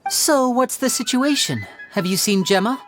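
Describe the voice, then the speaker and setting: A woman's voice: on the high side, lively intonation, normal speed, close to an everyday voice. An actress playing a courteous young woman investigator in a game, asking people questions. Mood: curious.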